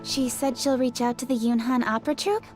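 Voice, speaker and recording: A woman playing a brisk, efficient young female official in a video game, formal and courteous. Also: a little high, fairly even intonation, a little mellow, soft background music, close to an everyday voice. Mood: businesslike.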